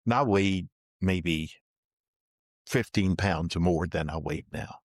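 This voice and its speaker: An older man being interviewed, slowly and plainly telling the story of his working life and dropping his voice for asides. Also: low-pitched, no room sound, clean studio sound. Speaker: interviewee recounting his career. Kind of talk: slow, deliberate personal storytelling.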